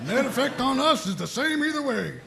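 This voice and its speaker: A man playing a gruff, folksy older military sergeant, blunt and callous, in a comedy table read of an animated series. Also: very high voice, a little fast, exaggerated voice. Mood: blunt, unconcerned.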